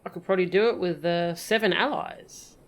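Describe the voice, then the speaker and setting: A woman's voice: fairly low pitch, brisk. A woman streaming a game with casual, playful and wry commentary.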